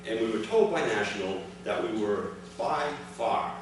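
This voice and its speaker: A man reading a prepared first-person account aloud to an audience, in measured, separated phrases. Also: on the low side, expressive. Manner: measured public delivery in short, separated phrases. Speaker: reader of his father's written memoir at a commemoration. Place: large echoey room at an event, recorded from afar. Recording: echoey room, distant microphone, clear background noise.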